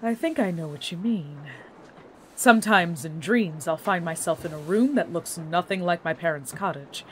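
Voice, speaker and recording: A thoughtful woman, played by an actress, asks and reflects patiently in a naturalistic audio drama. Her delivery is measured and attentive, with some ambient noise around her. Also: fairly low pitch, close to an everyday voice, rough recording. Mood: attentive, gentle. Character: patient, thoughtful woman gently questioning a friend.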